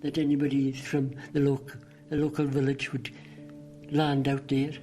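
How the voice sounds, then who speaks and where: A man in his late eighties with a Scottish Highland accent, recalling the past in conversation and repeating phrases for emphasis. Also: flat delivery, moderate pace, warm tone, soft background music. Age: late 80s. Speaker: local resident interviewee. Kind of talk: recollection in conversation.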